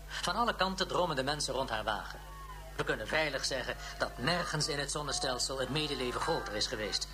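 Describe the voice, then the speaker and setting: A man's voice: mid-range voice, animated, brisk, natural voice. An actor playing a ceremonious male news reporter giving formal, descriptive live commentary in a Dutch science fiction radio drama. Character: solemn male news reporter covering a state occasion.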